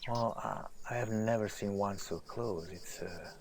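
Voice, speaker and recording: Slow-spoken, world-weary male writer played by an actor. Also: on the low side. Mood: musing. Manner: hesitant, musing, often hushed. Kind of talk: audio drama acting. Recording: noisy outdoor ambience, birds and insects.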